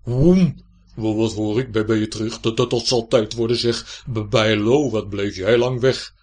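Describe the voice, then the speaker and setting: A man's voice: lowish voice, unhurried, darkish tone. A man voicing a short, blustering old knight in rusty armour for a children's story, stuttering and repeating himself in a muffled grumble. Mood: startled, grumbling. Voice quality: dull, muffled.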